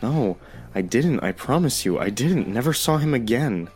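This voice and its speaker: An actor playing a remorseful young man pleading with his ex-boyfriend in an audio drama, halting and earnest. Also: mid-range voice, animated, darkish tone, soft background music, close to an everyday voice. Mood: insistent.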